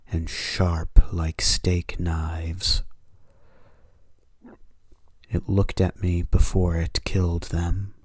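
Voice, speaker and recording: Deep, slow male horror narrator. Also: dark tone, dry recording, clean studio sound. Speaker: host and narrator. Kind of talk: dramatic horror story reading.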